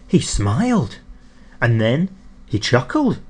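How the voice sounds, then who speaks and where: A man narrating a children's storybook expressively, with deliberate emphasis on key words. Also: mid-range voice, wildly swooping pitch, slightly rough. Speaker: audiobook narrator.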